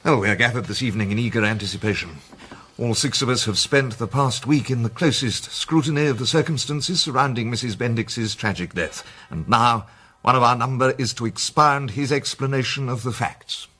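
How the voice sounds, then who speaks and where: An actor playing a formal, courteous English club president in a radio drama, ceremonious and measured in his phrasing. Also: mid-range voice, pushed and bright.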